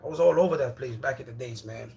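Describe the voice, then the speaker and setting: A man's voice: medium pitch, fast, creaky, darkish tone. Chatty man hosting a livestream. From Jamaica. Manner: loose, rambling, repeats himself, calls out to viewers as brother and bro. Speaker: livestream host. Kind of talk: casual livestream chat with viewers.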